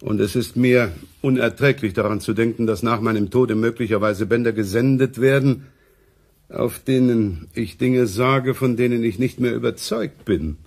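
Pompous, self-important professor. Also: male voice, medium pitch, a little mellow, husky. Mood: grave, self-important. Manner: pompous, oratorical, deliberate phrasing. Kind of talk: German radio play acting.

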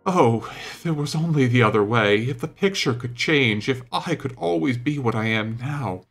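A man voicing a petulant, melodramatic young gentleman in an audiobook, vain and wounded, speaking in an impassioned, plaintive way. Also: mid-range voice, lively intonation, normal speed, vocal fry, slightly rough, faint music behind, pitched above the performer's own voice, exaggerated voice. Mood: jealous, despairing.

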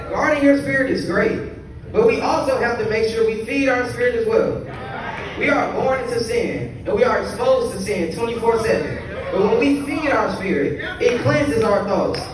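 Young man preaching in a big, echoing church. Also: very high-pitched. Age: teens. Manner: earnest, reads scripture then preaches in short phrases. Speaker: youth speaker. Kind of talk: youth sermon at a convention. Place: large church sanctuary. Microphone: pulpit mic filmed by a phone from the pews. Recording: roomy far-off phone recording in a large hall. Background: congregation ambience.

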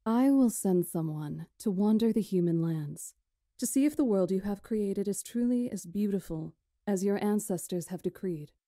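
A woman's voice: mid-range voice, expressive, moderate pace, no echo, clean studio sound, close to an everyday voice. A woman voicing a composed, authoritative witch in a visual novel, with formal, stately delivery. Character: composed, authoritative witch presiding over negotiations. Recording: loud music over the voice.

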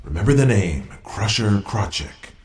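An actor playing a brash, domineering wrestling promoter in an audio drama. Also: male voice, fairly low pitch, expressive, unhurried, a little mellow, a little hiss or hum. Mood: smug. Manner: smug, domineering.

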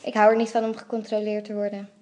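A woman's voice: medium pitch, monotone, fast, darkish tone, lightly acted. A woman playing a brave, thrill-seeking princess in amateur radio drama, with a plain, casual delivery. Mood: casual, independent.

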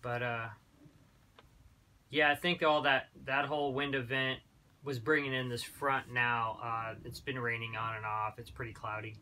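A man in his thirties giving a relaxed, rambling sailing-trip update from a small boat cabin, with plenty of fillers and restarts. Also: medium pitch, moderate pace. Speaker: sailing vlogger. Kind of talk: casual travel vlog.

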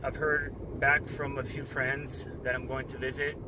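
A man's voice: mid-range voice, moderate pace. A man in his forties chatting casually to his phone camera on a road trip, from the car and at roadside stops. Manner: relaxed, chatty narration to camera. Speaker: travel vlogger. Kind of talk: casual travel vlog. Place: inside a car while driving, also outdoors at stops. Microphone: phone camera at arm's length. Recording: car and road noise behind.

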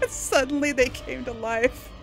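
A woman with a high voice gives casual, giggly commentary on a video game she is playing. She reacts aloud and startles easily, in no hurry with her words, while game music plays clearly behind her. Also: steady tone, takes it slowly, poor audio. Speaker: gamer, video game playthrough host.

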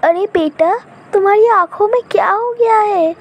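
A woman voicing an anxious, caring mother in an animated moral story, worried and fretful. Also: very high voice, moderate pace, slight background noise.

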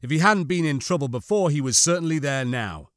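A man's voice: on the high side, lively intonation, fairly quick, fairly bright tone, dry recording, studio quality. A man reading scripted fiction as an audiobook narrator, with a dramatic, suspenseful delivery.

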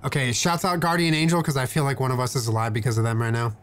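A young man in casual gaming chat, animated, joking and swearing freely as he plays. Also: medium pitch, fast talker, clear, resonant tone. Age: young adult. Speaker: streamer and player. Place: indoor home streaming room.

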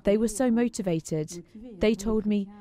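Woman doing translation voiceover for news, mellow tone, mid pitch. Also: a little slow. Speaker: voice-over translator. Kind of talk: read voice-over translation of an interview.

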